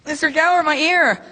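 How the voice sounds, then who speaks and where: A man's voice: very high voice, noisy background. An actor voicing a frightened, earnest boy who pleads with his boss in a live radio drama. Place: theater stage.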